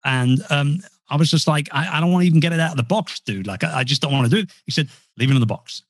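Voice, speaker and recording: A man's voice: a little high, fast, dry recording, studio quality. A man chatting as a podcast guest, rambling and self-deprecating with frequent restarts. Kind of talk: casual interview talk. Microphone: podcast mic.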